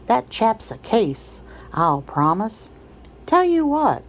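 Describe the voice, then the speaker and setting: A woman's voice: fairly high pitch, very animated, slow pace, slight background noise, pitched above the performer's own voice, heavily acted, poor audio. A woman voicing a coarse, pushy male slave trader in a storybook read-aloud, with a wheedling, blustering, folksy rustic way of talking. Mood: pushy, cheerful.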